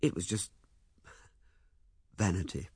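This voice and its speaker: A man voicing an awkward young man who talks in halting, stammering bursts. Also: deep voice, slightly rough, no echo, studio quality, heavily acted. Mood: earnest. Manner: halting, stammering, loud and blunt.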